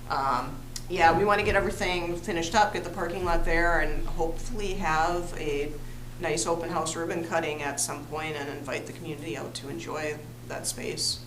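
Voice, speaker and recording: A woman's voice: low-pitched, steady tone, normal speed. A woman giving a friendly, casual project update at a township board meeting. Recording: echoey room with clear hum.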